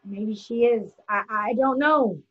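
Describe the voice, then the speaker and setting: A woman acting as a torn young wife with a very high voice, pleading and hesitant. She sounds anguished, in stage drama style, over dull lo-fi call audio. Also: slow pace. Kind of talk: virtual stage play table read.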